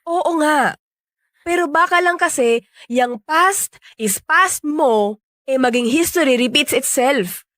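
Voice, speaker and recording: Blunt, high-voiced protective young sister. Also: female voice, slow pace, fairly bright tone, no room sound, clean studio sound. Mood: skeptical, concerned. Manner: blunt, probing. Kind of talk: Filipino radio romance drama acting.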